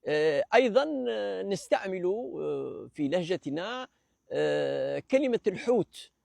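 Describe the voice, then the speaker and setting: Man from Algeria, gravelly and a little high, lecturing in a hesitant, measured way; dry close recording. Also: lively intonation, a little mellow, no echo. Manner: hesitant, many fillers and restarts; measured, explanatory. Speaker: presenter of a language talk series. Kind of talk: unscripted lecture.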